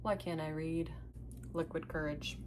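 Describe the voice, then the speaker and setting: A woman's voice: low-pitched, steady tone, unhurried, noisy background. A young woman, Russian her first language, chatting casually as she unboxes books and reads blurbs aloud at home, laughing at her own stumbles. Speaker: book unboxing vlogger.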